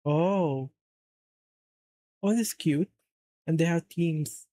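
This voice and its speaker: A man's voice: fairly high pitch, slow pace, warm tone, no echo, studio quality. A man from Saudi Arabia casually chatting on a live stream, rambling and answering chat as he plays.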